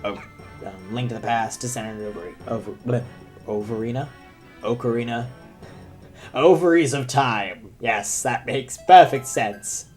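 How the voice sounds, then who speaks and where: A man's voice: mid-range voice, a little slow, a little mellow, soft background music. A young man who is sick, talking in a rambling, self-deprecating way with many fillers as he comments on a video game. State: sick, deep voice. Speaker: gaming commentator. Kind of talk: let's play commentary.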